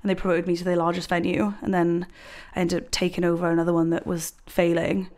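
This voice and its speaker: A woman's voice: on the low side, completely flat delivery, fast talker, darkish tone. A woman in her twenties from Wales chatting casually as a podcast guest, telling stories and acting out what people said. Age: 20s. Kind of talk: casual personal chat.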